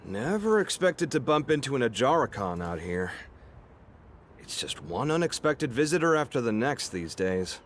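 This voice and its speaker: An actor playing an easygoing, friendly desert guide in a video game, with a casual, folksy way of talking. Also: male voice, mid-range voice, big pitch swings, normal speed, slight background noise, close to an everyday voice. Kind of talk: video game cutscene acting.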